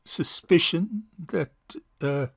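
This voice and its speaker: An actor playing a middle-aged bachelor uncle in a stage farce, courtly, hesitant and long-winded. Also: male voice, a little high, takes it slowly, creaky, fairly bright tone, husky, no room sound, studio quality, full character voice. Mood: hesitant, awkward. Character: suave, hesitant rich older bachelor uncle. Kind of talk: stage farce audio drama acting.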